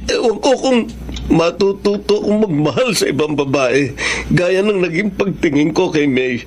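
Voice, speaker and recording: High-voiced heartbroken young Filipino man played by an actor. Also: lively intonation, normal speed, a little mellow, lightly acted. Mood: heartbroken, pained. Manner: emotional, pained delivery. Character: heartbroken young man drinking over unrequited love. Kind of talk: Filipino radio drama acting.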